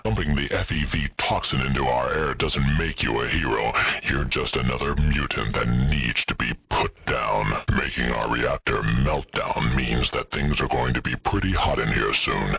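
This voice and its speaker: Extremely deep, gravelly monstrous enforcer. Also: male voice, fairly bright tone, slight background noise, heavily acted. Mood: cold menace. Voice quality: gravelly, growling. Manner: slow, menacing, contemptuous. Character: huge menacing mutant government enforcer, brutal and contemptuous. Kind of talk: scripted video game voice acting.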